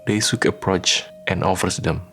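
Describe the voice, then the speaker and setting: A man narrating a scripted radio drama in measured, dramatic short phrases. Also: low-pitched, fairly even intonation, music in the background.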